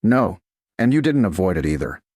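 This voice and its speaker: A man voicing a composed, resolute noblewoman in a romance story, speaking quietly and evenly. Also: deep voice, very animated, moderate pace, breathy edge, dry recording, clean studio sound, full character voice.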